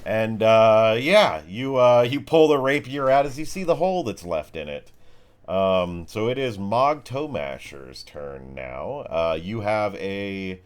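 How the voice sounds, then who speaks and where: A man's voice: on the low side, animated, takes it slowly, smooth. A man acting as game master, improvising descriptive narration of a tabletop game mixed with informal, sweary chat.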